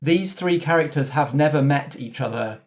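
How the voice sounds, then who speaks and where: Mid-pitched man hosting a roleplay podcast. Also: normal speed. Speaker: host and game master. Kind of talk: casual backstage chat after scripted intro.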